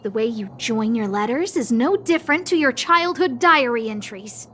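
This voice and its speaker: Young lady boss, high voice, dramatic, earnest, emphatic, anime game character. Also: expressive, normal speed, fairly bright tone. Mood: accusing, triumphant. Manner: theatrical, emphatic delivery. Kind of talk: anime-style video game voice acting.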